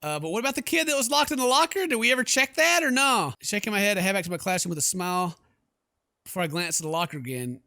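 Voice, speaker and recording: A man hosting a gaming video, reading game text aloud and commenting in a sarcastic, animated way on a close mic. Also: high-pitched, fast talker, no room sound. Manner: sarcastic, animated when incredulous.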